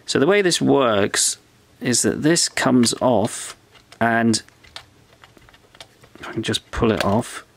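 A man talking through a piece of old electronics at his workbench, explaining each part step by step as he thinks aloud in a relaxed way. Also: mid-range voice, takes it slowly, gravelly, pauses often. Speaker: electronics restorer. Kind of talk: hands-on show-and-tell. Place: workbench indoors.

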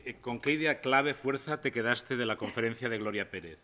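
Middle-aged male ceremony host, informal presenting style, medium-pitched voice. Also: fairly quick, sharp tone. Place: auditorium stage at a conference.

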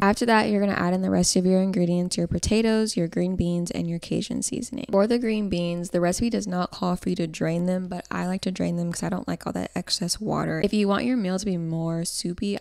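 Young female vlogger, chatty how-to voice-over, mellow tone with vocal fry, dry sound. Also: fairly low pitch, no room sound. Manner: informal, chatty. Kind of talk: casual how-to voice-over narration.

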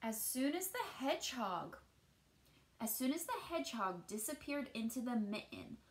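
Slow, higher-voiced woman reading a storybook. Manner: deliberate, expressive storytelling with emphasis on key words. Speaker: teacher reading aloud to children. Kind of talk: picture-book read-aloud.

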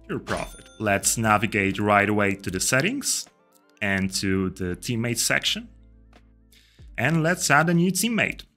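Bright, low-pitched young man presenting a tutorial. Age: young adult. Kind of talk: step-by-step tutorial narration. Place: indoor room. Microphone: desk microphone close to the speaker. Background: music.

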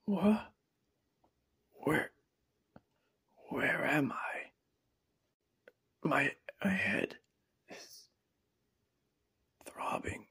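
A man playing a frightened, weakened captive reporter in a whispered ASMR horror drama, halting and melodramatic, with a breathy, raspy voice. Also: a little high, sharp tone, full character voice. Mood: dazed, pained. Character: frightened, captured male reporter, weakened and in pain.

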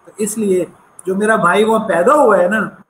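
A man's voice: sits high, fairly even intonation, moderate pace, fairly bright tone, echoey room, slight background noise, poor audio. A man with a slight American accent, an entrepreneurship professor telling stories in an earnest, reflective way as an interview guest. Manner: earnest, reflective, sprinkles English phrases. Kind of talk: conversational interview storytelling.

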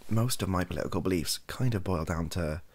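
A young man with a husky, gravelly voice, rambling softly and wryly to camera, often hesitating and correcting himself. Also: low-pitched, a little fast. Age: young adult. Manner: hesitant, self-correcting, wry. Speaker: ASMR comedy video creator. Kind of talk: improvised soft-spoken rambling to camera. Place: small home bedroom office.

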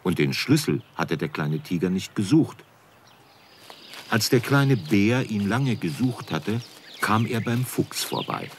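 A man's voice: on the low side, animated, takes it slowly, a little hiss or hum. A man narrating a scripted children's story as storyteller.

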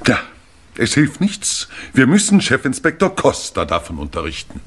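An actor playing a courteous older English lord, a confiding uncle, in a 1950s German radio play. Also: male voice, mid-range voice, wildly swooping pitch, moderate pace, a bit bright, a little hiss or hum. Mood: puzzled. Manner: courteous, hesitant, discreet. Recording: vintage radio play, music underneath.

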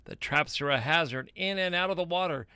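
A man in his sixties reporting live outdoors on a beach with a handheld mic, in a brisk, emphatic news delivery. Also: fairly high pitch, bright, forceful tone, clean tone, no room sound. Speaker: field reporter.

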